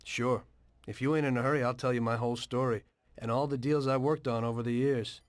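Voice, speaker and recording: An actor playing a weary former taxi driver turned mob informant in a video game, with an American accent and a measured, matter-of-fact delivery. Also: male voice, medium pitch, steady tone, no echo, clean studio sound, lightly acted. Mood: earnest bargaining. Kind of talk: video game dialogue and narration.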